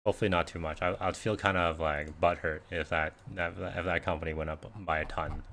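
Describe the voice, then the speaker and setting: Low-voiced man chatting on a livestream. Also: flat delivery, brisk, fairly bright tone. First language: Cantonese. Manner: rambling, conversational, many fillers and restarts. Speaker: investing livestream host. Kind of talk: casual live Q&A chat.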